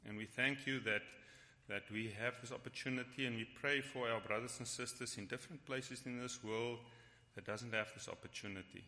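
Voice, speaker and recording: A man's voice: mid-range voice, steady tone, sharp tone. Man, first language Afrikaans, teaching in a hall. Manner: halting, with restarts. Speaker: Bible study leader. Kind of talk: church Bible teaching with prayer. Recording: long hall reverb.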